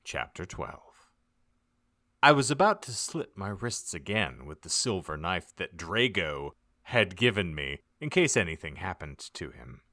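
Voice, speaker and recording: A man giving a mock-dramatic, theatrical narration of a comedic audiobook, with deliberate emphasis. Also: low voice, sing-song, bright, forceful tone. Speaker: podcast narrator.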